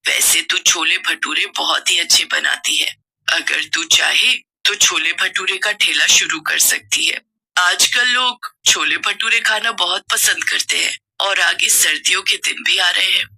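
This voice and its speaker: A woman voicing a gentle, caring old mother-in-law who speaks softly in a cartoon story. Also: on the low side, a little slow, vocal fry, breathy edge, exaggerated voice, low-quality recording. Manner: soft, motherly advice.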